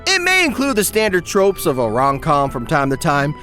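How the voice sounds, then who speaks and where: A man's voice: on the high side, huge pitch swings, moderate pace, sharp tone, soft background music. A man narrating a scripted comedic anime review in a wry, exaggerated, joking way. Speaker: video essay narrator.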